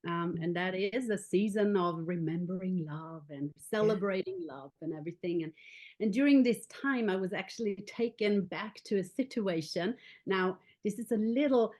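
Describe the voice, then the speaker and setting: A woman in her forties from Austria with an Austrian German accent, a pastor speaking as a podcast guest and telling her story warmly and personally. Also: medium pitch, moderate pace. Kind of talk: casual faith podcast chat.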